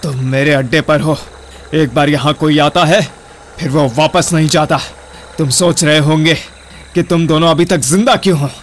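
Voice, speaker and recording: A man's voice: on the high side, fairly even intonation, normal speed, a little hiss or hum, lightly acted. A man playing a gloating, sadistic cannibal killer in a horror-comedy audio drama, with a menacing, taunting manner. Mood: cold menace. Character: gloating sadistic male cannibal killer savouring his hunt.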